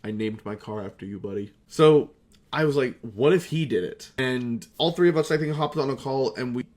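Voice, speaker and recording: A young man talks in a casual, rambling retrospective vlog. He is excitable and self-deprecating, swearing freely, with an ordinary mid-range voice. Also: mid-range voice, moderate pace. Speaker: video creator, amateur voice actor.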